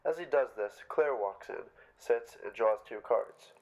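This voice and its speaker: A man's voice: medium pitch, a little slow, darkish tone, muffled recording. A man reading stage directions aloud as scripted narration at a staged play reading.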